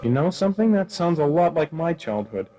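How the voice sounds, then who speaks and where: A man playing a hapless, wry private detective in a comic noir radio play, delivered deadpan. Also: on the high side, a little fast, slight background noise. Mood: curious.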